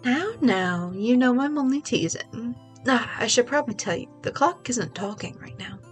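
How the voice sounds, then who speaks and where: A woman with a stuffy voice voicing a calm, measured older sister who is a lawyer, gently teasing in a video game read-along. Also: on the high side, faint music behind, lightly acted.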